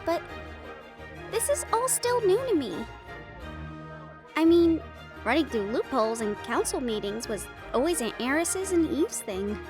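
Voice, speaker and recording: Woman acting high, lilting, affectionate young fiancée. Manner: affectionate, expressive, chatty. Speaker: audio roleplay voice performer. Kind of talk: intimate scripted audio roleplay addressed to the listener.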